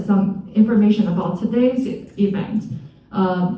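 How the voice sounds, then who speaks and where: A woman hosting an event, reading out announcements and introducing a speaker in a hesitant way with many fillers. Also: mid-range voice. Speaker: event host. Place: event hall. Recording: echoey hall, from far off, dull sound.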